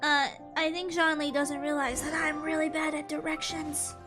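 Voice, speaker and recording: A woman gives casual playthrough commentary in a high voice. She is chatty and thinks aloud as she goes, with game music clearly audible behind her. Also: little pitch movement, normal speed. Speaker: gaming video host.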